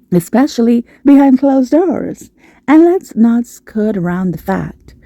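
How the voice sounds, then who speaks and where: Animated mellow-voiced woman narrating commentary. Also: fairly high pitch, rough recording. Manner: theatrical, sardonic wording. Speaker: commentator on a reality TV show. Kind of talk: scripted narration.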